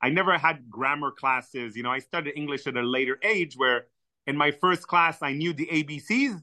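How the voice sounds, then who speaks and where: A man's voice: on the high side, moderate pace, dry recording. A man whose first language is Hebrew, telling enthusiastic stories as a podcast guest. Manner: animated, enthusiastic storyteller. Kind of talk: storytelling in conversation.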